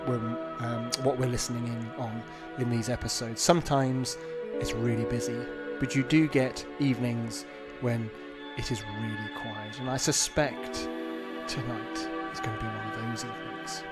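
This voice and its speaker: A man's voice: mid-range voice, level tone, moderate pace. A man, talking casually and explaining things to listeners as the host of an online show. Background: backing music.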